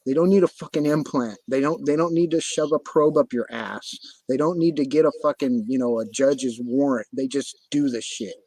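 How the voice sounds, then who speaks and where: A man's voice: mid-range voice, moderate pace, hardly any pauses, dark tone, no room sound, rough recording. A man hosting a livestream, talking casually in a profane, repetitive rant. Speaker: livestream host.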